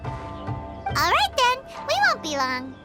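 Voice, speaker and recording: Super high squeaky little game fairy girl, chirpy, blurts stuff out, bouncy pitch. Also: wildly swooping pitch, slow, music in the background, heavily acted. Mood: chatty. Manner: speaks of self in third person, chirpy, blurting. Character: tiny cheerful floating fairy companion, childlike, excitable girl. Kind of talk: video game voice acting.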